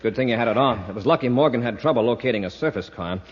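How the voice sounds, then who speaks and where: An actor playing a brisk space patrol commander, giving clipped, authoritative orders in 1950s radio serial style. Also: male voice, medium pitch, no room sound, close to an everyday voice. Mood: firm, controlled. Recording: muffled, very noisy old radio recording.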